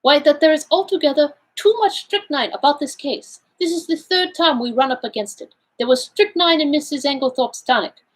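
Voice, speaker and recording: A woman voicing a courteous, self-assured Belgian male detective in an audiobook, speaking in a deliberate, formal, explanatory way. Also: high-pitched, pitched above the performer's own voice, lightly acted. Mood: puzzled, thoughtful. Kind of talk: audiobook character dialogue.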